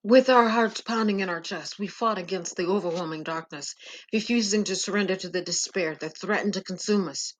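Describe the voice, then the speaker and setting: A woman's voice: mid-range voice, brisk, poor audio. A woman giving an earnest, suspenseful first-person narration of a dramatic story.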